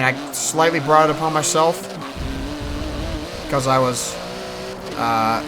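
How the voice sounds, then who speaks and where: A man hosting a gaming video, giving casual, rambling, hesitant voice-over commentary. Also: mid-range voice. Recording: loud racing car engine noise behind. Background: racing game car sounds.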